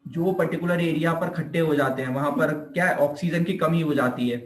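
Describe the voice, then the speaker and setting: A man's voice: a little high, fairly quick, slight background noise. An Indian man giving an informal explanatory lecture as a teacher, repeating himself and answering his own questions. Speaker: physiotherapy teacher. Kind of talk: informal explanatory lecture in Hindi mixed with English terms. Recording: echoey room.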